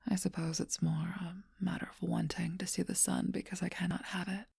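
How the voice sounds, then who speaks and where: A woman voicing a seductive, ageless, possessive female vampire in an ASMR role-play, speaking in a soft, breathy whisper with slow, intimate teasing. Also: on the low side, little pitch movement, dry recording, clean studio sound, full character voice. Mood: wistful.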